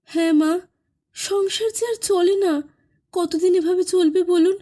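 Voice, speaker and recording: A woman playing a caring, earnest young wife in an animated horror story, speaking in an imploring, emotional way. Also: very high-pitched, slow. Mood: pleading.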